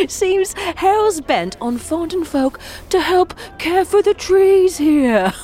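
Woman as a high, lilting, brash drunken reveller. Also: full character voice. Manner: coarse, scornful, slangy. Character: brash, mocking, tipsy female reveller. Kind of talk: fantasy audio drama acting.

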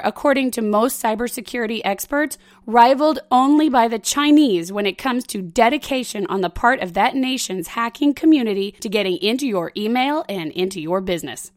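A woman hosting a podcast with scripted but conversational, emphatic delivery, her voice rough and hoarse from a sore throat. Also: fairly high pitch, no room sound, clean studio sound. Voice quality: rough, raspy. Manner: conversational, emphatic, directly addressing listeners. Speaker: podcast host.

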